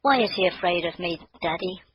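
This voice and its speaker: A man's voice: high-pitched, brisk, highs cut off, slight background noise, voice pushed up, lightly acted. A man voicing an eager, anxious little girl in a children's storybook. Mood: eager, worried.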